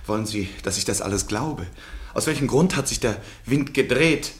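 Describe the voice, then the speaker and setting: An actor playing a proud, lovesick count in a radio play, formal and ironic. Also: male voice, medium pitch, expressive, moderate pace, natural voice. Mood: agitated, pleading. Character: proud, wounded count in love, pleading and sardonic. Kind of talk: German literary radio play acting.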